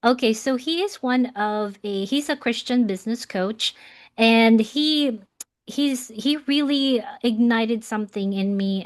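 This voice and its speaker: A woman in her forties from the Philippines, a guest life coach talking earnestly with many ums. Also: fairly high pitch. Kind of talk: casual interview.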